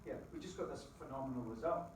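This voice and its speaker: A middle-aged Scottish man giving a lively motivational talk to a live audience, quizzing the crowd with folksy asides. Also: mid-range voice, quick pace, slight background noise. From Scotland. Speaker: business opportunity presenter. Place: presentation room with an audience. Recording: very echoey room, distant mic.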